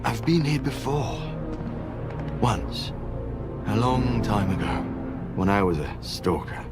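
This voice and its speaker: An actor playing a blind former wanderer turned zealous mystic in a video game, speaking in solemn, cryptic phrases with measured pauses. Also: male voice, lowish voice, sing-song. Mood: solemn, haunted. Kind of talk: video game cutscene acting. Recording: loud music behind the voice.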